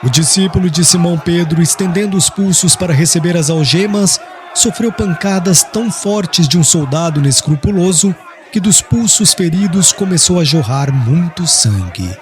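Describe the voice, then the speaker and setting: A man's voice: high-pitched, animated, moderate pace, some chatter behind, a little hiss or hum. A man reading an audiobook as dramatic, solemn scripted narration in a literary manner. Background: music.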